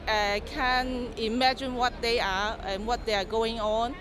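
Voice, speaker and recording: A woman's voice: a little high, fairly even intonation, measured pace. A woman speaking off the cuff in an on-location news interview. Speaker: visitor interviewee. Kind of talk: spontaneous interview soundbite. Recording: noisy location, traffic ambience.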